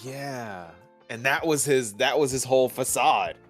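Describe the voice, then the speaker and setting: A man's voice: medium pitch, unhurried, faint music behind. A man chatting casually as a guest on a livestream discussion, emphatic, with incredulous asides. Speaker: guest co-commentator.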